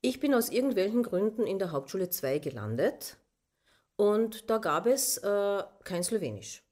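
A middle-aged woman with an Austrian German accent and Slovene as her first language tells a personal story in a reflective, hesitant way, pausing and checking in with questioning asides. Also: medium pitch, very animated, a little mellow. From southern Austria, Carinthia. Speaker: interviewee.

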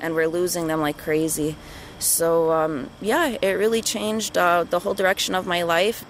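A woman's voice: fairly low pitch, steady tone, moderate pace, slight background noise. A woman, talking casually in an interview, with lots of fillers. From Kahnawake, Quebec, Canada. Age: 30s. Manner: conversational, many "you know" and "kinda" fillers.

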